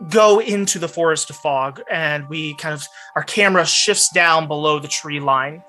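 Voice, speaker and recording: A man narrating a tabletop fantasy game as game master, with deliberate, dramatic phrasing. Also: fairly high pitch, pushed and bright, poor audio. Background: background music.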